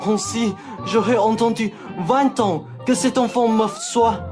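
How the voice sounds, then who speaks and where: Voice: mid-range voice, over music, close to an everyday voice. A young performer playing a theatrical older aristocrat in a French farce made as a radio play, melodramatic and declamatory. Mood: melodramatic, insistent. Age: young adult. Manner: melodramatic, declamatory, slow delivery. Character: theatrical older male aristocrat claiming a long-lost son.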